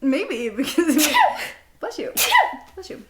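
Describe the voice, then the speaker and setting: A woman's voice: high-pitched, big pitch swings, slow, a crowd in the background. A young woman co-hosting a podcast, chatting casually and giggling in a goofy, self-mocking way. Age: young adult. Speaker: podcast co-host. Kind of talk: casual chat between best friends, with bits of journal reading. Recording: roomy, echoey indoor sound.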